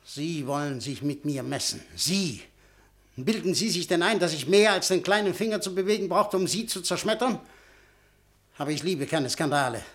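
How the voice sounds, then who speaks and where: An imperious, irascible boss of a man in a radio drama. His voice sits a little high, and he blusters and interrogates as if used to being obeyed. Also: moderate pace, fairly bright tone. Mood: menacing, boastful. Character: domineering, irascible, threatening man of power, easily incensed. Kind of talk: radio play acting.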